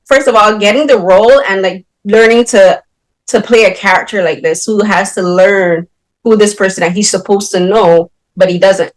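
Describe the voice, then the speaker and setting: A woman's voice: mid-range voice, low-quality recording. A woman from Barbados, interviewing filmmakers with long, winding questions full of fillers. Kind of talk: film interview.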